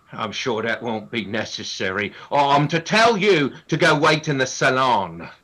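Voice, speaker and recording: A man's voice: mid-range voice, very animated, unhurried, pushed and bright, full character voice. An actor playing a put-upon, indignant working man who mutters and hesitates, in a comic radio play. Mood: flustered, polite.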